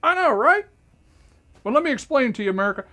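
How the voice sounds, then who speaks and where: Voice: high-pitched, sing-song, fast, fairly bright tone. A performer doing a folksy, boastful rural man. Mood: folksy, rambling. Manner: chatty, folksy, keeps asking "you know what I'm saying?". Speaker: self-styled host addressing America. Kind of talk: comic character monologue to camera.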